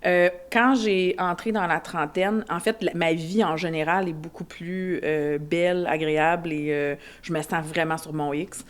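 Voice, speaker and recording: A woman's voice: lowish voice, steady tone, moderate pace, few pauses. A woman in her forties with a Québécois French accent, talking candidly as a podcast guest, with many fillers and restarts. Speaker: insurance advisor guest. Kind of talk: casual podcast conversation.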